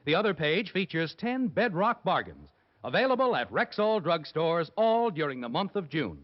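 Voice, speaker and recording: A man's voice: on the high side, big pitch swings, normal speed. An American man reading a sponsor's message as a polished, emphatic mid-century radio announcer. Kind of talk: 1950s radio advertising announcement. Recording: muffled old radio recording, faint music.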